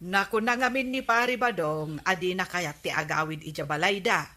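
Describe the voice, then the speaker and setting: A woman's voice: fairly low pitch, moderate pace, bright, forceful tone. A woman playing a village captain's gossipy, easily astonished wife in a radio comedy drama, exclamatory, with an Ilocano accent. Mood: gossipy, concerned.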